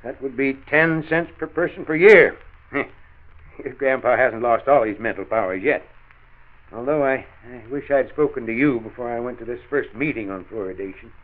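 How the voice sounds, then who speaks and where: A man's voice: mid-range voice, normal speed, roomy sound, close to an everyday voice. An actor playing an elderly, genial, dogged grandfather with a folksy, hesitant manner in a 1940s radio drama. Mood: genial, curious. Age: older. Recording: muffled, band-limited 1940s radio recording.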